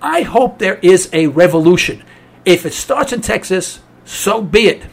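Man, New York accent, talk host, cynical rant, raspy, animated pitch. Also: a little slow. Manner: cynical, ranting. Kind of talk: political talk monologue.

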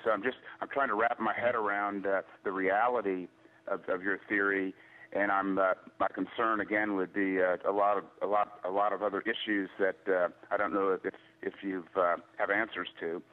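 A man's voice: deep voice, level tone, normal speed. A man calling in to a radio talk show, thoughtful and hesitant, with many fillers and restarts. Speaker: radio caller. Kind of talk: call-in question on talk radio. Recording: tinny phone line.

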